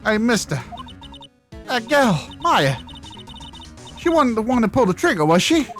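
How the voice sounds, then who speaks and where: A feisty country woman from a video game, acted out by a woman, gossiping in brash rural slang. Her pitch swings around in a lively way, with faint music playing behind her. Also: measured pace. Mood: brash, gossipy.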